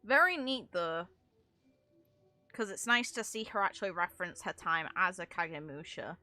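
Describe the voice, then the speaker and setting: A woman game streamer giving casual, animated, unscripted reactions in informal, sweary language. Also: on the low side, moderate pace, faint music behind.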